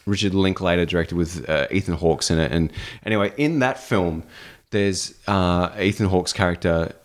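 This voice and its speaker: A man's voice: low voice, normal speed. A man co-hosting a podcast in casual chat, talking with enthusiasm and swearing freely. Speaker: podcast co-host. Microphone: podcast microphone on a stand.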